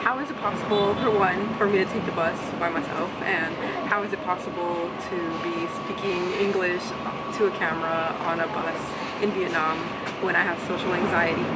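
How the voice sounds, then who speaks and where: Young woman vlogging to her camera, soft and candid, lots of ums, loud street and traffic noise behind her. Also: fairly low pitch, steady tone, moderate pace. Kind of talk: casual vlog talk to camera. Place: busy public places in a city, on a bus and in a crowded supermarket. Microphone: handheld self-filming camera. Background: crowd chatter and traffic noise.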